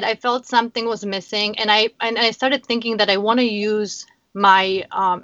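A middle-aged woman with a Polish accent speaks earnestly as an interview guest, often pausing on fillers and restarting her sentences. Her voice sits at an ordinary pitch. The sound is dull and dry, with little room around it. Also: a bit bright, no echo. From Poland. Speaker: guest, founder. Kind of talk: casual interview chat.

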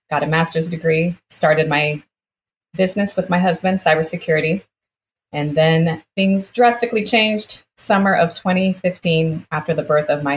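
A woman's voice: sits low, slight background noise, low-quality recording. A woman from the United States, born in Brazil, telling a personal story conversationally as an interview guest, quoting people as she goes.

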